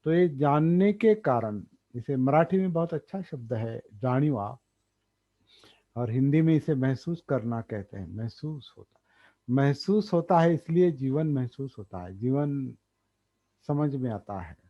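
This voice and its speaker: A man gives a dharma talk, thinking aloud, pausing often and repeating key words. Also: medium pitch, animated. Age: 60s. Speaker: meditation teacher. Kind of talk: slow explanatory dharma talk. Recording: dull lo-fi recording.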